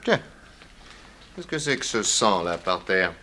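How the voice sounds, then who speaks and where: A man's voice: low-pitched, big pitch swings, measured pace, sharp tone, exaggerated voice. A man playing a shrewd, probing police detective with a wry, insistent manner in a 1960s French radio drama. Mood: wry, suspicious. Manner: inquisitive, wry, casually insistent.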